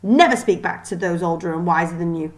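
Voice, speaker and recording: A woman's voice: fairly low pitch, brisk, close to an everyday voice. A woman voicing a stern older schoolmistress in a children's storybook, scolding, imperious and clipped. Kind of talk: children's storybook read aloud.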